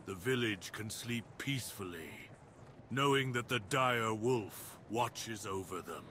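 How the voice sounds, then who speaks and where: A man's voice: lowish voice, slow pace, pushed and bright, slight background noise. A man voicing a proud, menacing dire wolf beast in an ASMR roleplay, speaking with grave, commanding, theatrical deliberation. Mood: brooding.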